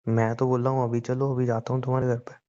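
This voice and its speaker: Mellow teasing young man on phone. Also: mid-range voice, little pitch movement, fast. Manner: teasing, joking. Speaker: boyfriend on a phone call. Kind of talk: casual phone chat. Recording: dull lo-fi call recording.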